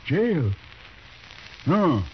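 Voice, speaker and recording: An old radio drama, dull and faintly noisy, features a proud and stoic man of the Old West. His male voice is slow and mid-pitched. He talks in short, broken English phrases with great dignity. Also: sing-song, heavily acted. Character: proud, stoic Native man accused of murder. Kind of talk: 1950s radio western acting.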